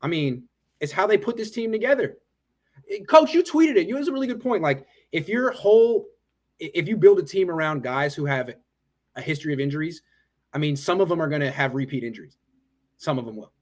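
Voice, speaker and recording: Animated higher-pitched man hosting sports talk. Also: a little fast. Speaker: host of a fan conference call. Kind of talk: casual sports discussion.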